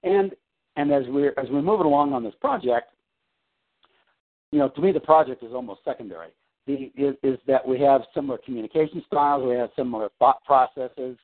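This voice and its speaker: A man's voice: mid-range voice, brisk. A man on a phone call from home, talking about his work in a rambling way full of restarts and fillers. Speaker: client on a coaching call. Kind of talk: casual phone conversation. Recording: tinny phone line.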